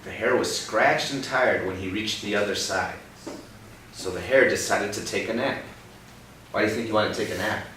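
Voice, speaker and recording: A man's voice: lowish voice, normal speed, fairly bright tone. Patient man reading to kids, echoey. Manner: patient, coaxing, checks in with "right?". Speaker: storyteller reading aloud to children. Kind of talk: picture-book read-aloud with questions. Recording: echoey room, distant mic, background noise.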